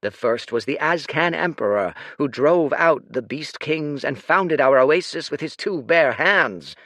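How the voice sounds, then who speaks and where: A scheming old lord makes a grand public speech in a raised, lively voice, as a man puts him on. His tone rises and falls widely, and the sound is dry and close. This is storybook narration. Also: fairly high pitch, dry recording, voice pushed up. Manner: declamatory, oratorical.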